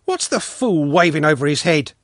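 A man voicing an excitable, scornful young boy in a storybook reading. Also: on the high side, normal speed, no echo, studio quality, pitched above the performer's own voice.

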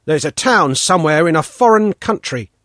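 A man's voice: fairly high pitch, lively intonation, normal speed, fairly bright tone, dry recording, studio quality, voice pushed up. A man voicing a stubborn, cocky village boy who tells his story doggedly in a raised voice. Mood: dogged telling.

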